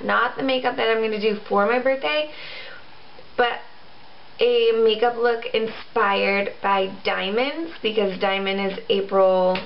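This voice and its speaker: Chatty girl in her 20s doing a makeup tutorial, highish voice, kinda dull camera audio at home. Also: a little hiss or hum. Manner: chatty, informal. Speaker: beauty vlogger. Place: small room at home.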